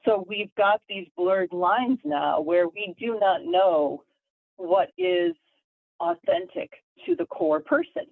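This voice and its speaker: A woman's voice: medium pitch, sing-song, slow, gravelly, no echo. Woman prof explaining stuff over a tinny phone-ish line, lecture-y, dry sound. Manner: lecturing, thoughtful, repeats words while building a point. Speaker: guest, communication professor. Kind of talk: explanatory interview talk.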